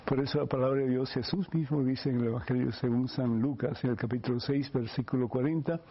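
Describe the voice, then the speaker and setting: A man's voice: mid-range voice, fairly even intonation, brisk, husky. A man, a priest hosting a radio program, preaching and then giving earnest, pastoral advice to a listener with many fillers. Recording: muffled radio broadcast with faint hiss.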